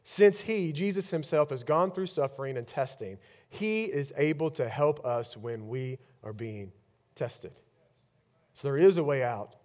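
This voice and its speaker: A man in his thirties preaching a sermon on a large church stage with a headset mic, swinging between loud bursts and hushed lines. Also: mid-range voice, lively intonation, a little slow. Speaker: pastor.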